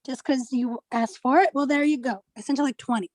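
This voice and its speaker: High-voiced woman in casual discussion, dry sound. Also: fairly quick, a little mellow, no room sound. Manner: informal, conversational.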